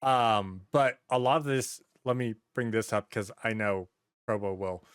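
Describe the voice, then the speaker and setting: A man's voice: fairly low pitch, measured pace, clean tone, no echo. Casual man podcaster, dry close sound. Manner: sweary, anecdotal, acts out quoted bits. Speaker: podcast host. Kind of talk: casual podcast chat about movies and games.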